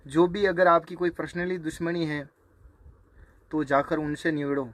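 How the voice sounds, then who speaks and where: A man speaking informally to viewers, remonstrating in a halting, repetitive way. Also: on the high side, a bit bright. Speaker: singer. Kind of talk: informal spoken video response to viewers.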